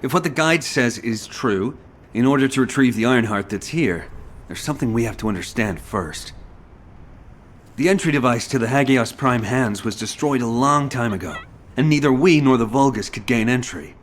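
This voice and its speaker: A man plays a confident video game operative with a medium-pitched voice. He briefs briskly and in a businesslike way, sure of his own abilities. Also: lively intonation, a little hiss or hum, natural voice. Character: brisk, self-assured military operative with arcane ability. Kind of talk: video game character acting.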